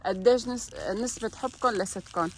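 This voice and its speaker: A woman's voice: a little high, fairly quick, a crowd in the background. An older woman, a grandmother, chatting casually with her grandchildren for a family video, teasing and coaxing them along. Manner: warm teasing, coaxing children, often laughing. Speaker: grandmother joining a family vlog.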